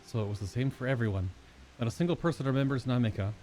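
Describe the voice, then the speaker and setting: A man's voice: medium pitch, quick pace, warm tone, a little hiss or hum. A man live-streaming a game, reading story dialogue aloud in character and now and then stumbling over invented names. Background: game music.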